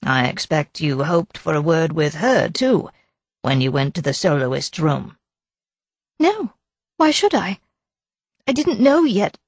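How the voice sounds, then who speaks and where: A woman voicing an anxious, defensive middle-aged wife and mother who talks in a flustered, high-strung way. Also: very animated, takes it slowly, long pauses, no room sound, exaggerated voice.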